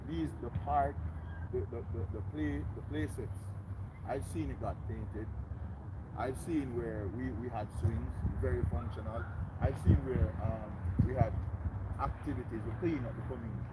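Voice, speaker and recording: Man, Jamaican accent, strong loud voice, earnest, rallying community speech, outdoors, loud passing traffic. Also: medium pitch, fairly even intonation, normal speed. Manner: earnest, rallying, tags lines with "right?". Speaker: community organizer. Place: outdoors by a road. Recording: loud traffic noise behind. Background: passing cars.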